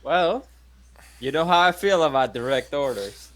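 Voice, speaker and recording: A man's voice: fairly high pitch, expressive, unhurried, a bit bright, slight background noise. A man playing a tabletop role-playing game who banters with jokes and swearing. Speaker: player.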